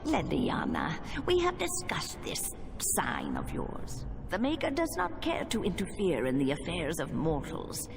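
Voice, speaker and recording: A woman playing a demon posing as a stern revered mother in a fantasy video game, measured and patronising. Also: lowish voice, wildly swooping pitch, creaky, exaggerated voice. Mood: stern, dismissive. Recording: long reverb with music behind. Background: music.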